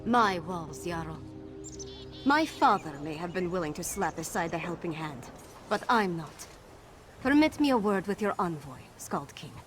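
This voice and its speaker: A woman voicing a resolute young princess in a video game, speaking in a firm, earnest and measured way over game music. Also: lowish voice, very animated. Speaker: princess of the city.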